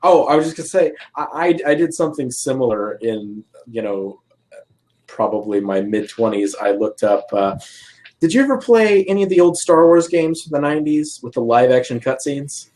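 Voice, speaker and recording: A man with an average-pitched voice speaks as a guest in a relaxed conversation. He tells anecdotes informally, with a wry touch to his phrasing. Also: normal speed. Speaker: podcast guest. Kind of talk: casual chat, anecdotes.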